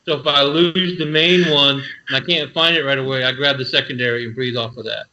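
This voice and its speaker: Bright-toned man on a dull line. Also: medium pitch, steady tone, brisk. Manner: explains practical know-how plainly. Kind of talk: casual live-stream chat.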